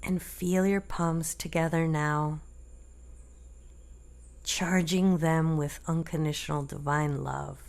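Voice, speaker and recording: A woman leading a guided meditation in a gentle, deliberate manner, leaving long pauses between short phrases. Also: deep voice, little pitch movement, gravelly.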